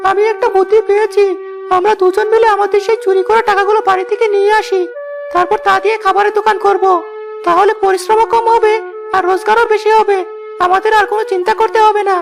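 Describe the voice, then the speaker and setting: A woman voicing a scheming, defiant young village brother in a cartoon fairy tale, with a creaky voice. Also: very high voice, little pitch movement, quick pace.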